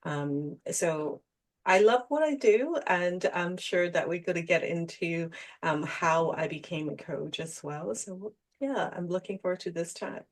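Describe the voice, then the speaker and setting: A woman's voice: on the low side, a little slow. A middle-aged woman speaks. She is an executive coach answering as a podcast guest, in a conversational interview style. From Hong Kong.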